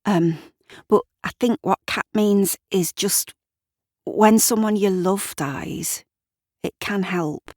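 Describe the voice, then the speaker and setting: A woman's voice: medium pitch, slow pace, a little mellow, no room sound, clean studio sound, pitched above the performer's own voice. A woman voicing a kindly, well-meaning female neighbour in a story, soothing and tentative as she picks her words. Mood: gentle, tentative.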